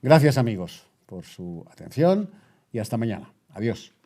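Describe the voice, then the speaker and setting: A man's voice: medium pitch, big pitch swings, moderate pace, dark tone. A man with an accent from Spain, presenting news as a bulletin host.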